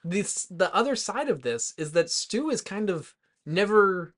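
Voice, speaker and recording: A man co-hosting a movie podcast, talking in a loose, informal way with lots of halting filler words as he retells things. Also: sits high. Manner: informal, heavy use of "like", halting, animated retelling. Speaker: podcast co-host. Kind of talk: rowdy casual movie chat.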